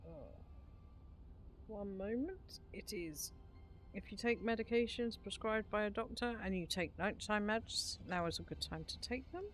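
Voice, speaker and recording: A woman's voice: medium pitch, a little slow, low-quality recording. A woman giving casual, chatty playthrough commentary, talking to herself and repeating phrases as she plays. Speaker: gaming streamer. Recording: music behind the voice.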